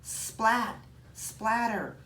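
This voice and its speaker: A woman reading a children's picture book aloud in an expressive, child-directed way, with chatty asides to young listeners. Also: a little high, extremely slow. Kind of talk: animated storybook read-aloud for children with casual asides. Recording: roomy echo, some background noise.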